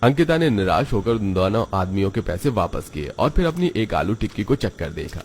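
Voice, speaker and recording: A man's voice: fairly low pitch, sing-song, brisk, slight background noise. A man narrating a children's cartoon story from a script.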